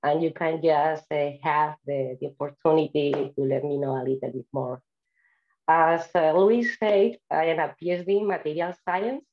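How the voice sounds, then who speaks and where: Spanish-accented woman, deep voice, friendly seminar talk with many fillers, muffled video-call sound. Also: steady tone, normal speed, slight background noise. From Spain. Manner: chatty and friendly, with frequent "uh" fillers. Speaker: invited speaker. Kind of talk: online seminar presentation.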